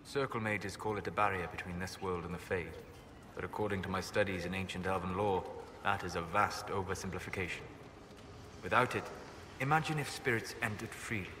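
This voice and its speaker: An actor playing a calm, scholarly elven mage in a fantasy game, speaking in a measured, formal, lecturing manner. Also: male voice, sits low, background noise, close to an everyday voice. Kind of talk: scripted fantasy game dialogue.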